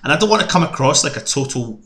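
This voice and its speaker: Scottish man, bright tense tone, casual fan pundit who butts in and restarts. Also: medium pitch, big pitch swings, quick pace, roomy sound. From Scotland. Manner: jokey, jumps in with stammering restarts. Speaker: fan panelist. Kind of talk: casual football discussion.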